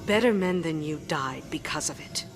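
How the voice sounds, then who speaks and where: Stern, lowish-voiced soldier. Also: female voice, lively intonation, moderate pace, music in the background. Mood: bitter, threatening. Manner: blunt, commanding. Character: stern, hard-bitten female soldier loyal to queen. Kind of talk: video game cutscene drama.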